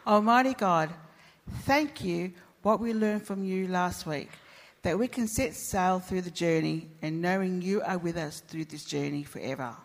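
A woman leading an earnest, measured spoken prayer for a church gathering. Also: on the low side. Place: church hall. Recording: reverberant church hall.

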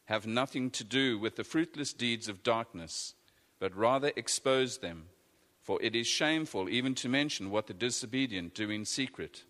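A man reading scripture aloud in a measured, deliberate way. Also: mid-range voice, a bit bright. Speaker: scripture reader. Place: church. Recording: echoey church hall.

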